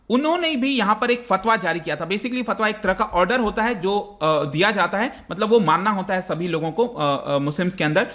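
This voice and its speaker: A man's voice: high-pitched, fairly bright tone. A man with an Indian accent giving an explanatory current-affairs lecture as a teacher, conversational and step by step, mixing in English terms. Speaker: online exam-prep teacher.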